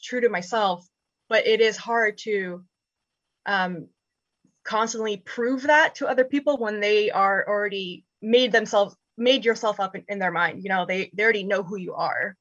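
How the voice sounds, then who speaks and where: A young woman whose first language is Spanish, reflecting candidly and casually in a group discussion, thoughtful and halting, with easy laughter. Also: medium pitch, a bit bright, dry recording, muffled recording. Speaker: panel guest.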